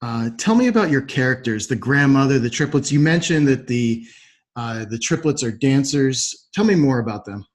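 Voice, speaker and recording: A man's voice: mid-range voice, animated, normal speed. A man hosting a podcast, interviewing his guest in a conversational, supportive way. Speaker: podcast host.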